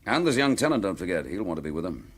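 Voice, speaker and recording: A British detective inspector in a radio drama, low-voiced and slightly husky, with lively swings of pitch. He takes charge briskly, sounding determined and protective as he lays out matters. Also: exaggerated voice. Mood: measured, resolute. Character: decisive suspended police detective inspector, protective and urgent.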